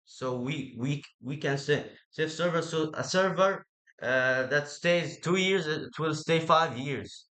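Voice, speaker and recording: Bright-voiced man with an Egyptian accent. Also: a little high. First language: Arabic. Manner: halting, repetitive non-native English with rough grammar. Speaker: presenter pitching his own idea. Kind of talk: informal explanatory pitch.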